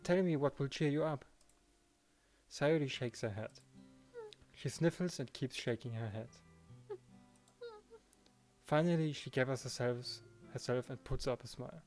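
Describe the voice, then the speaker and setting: A man's voice: medium pitch, a little slow, long pauses, a little mellow, soft background music, natural voice. A man voicing an earnest, protective teenage boy who narrates and speaks as the protagonist of a visual novel. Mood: narrating.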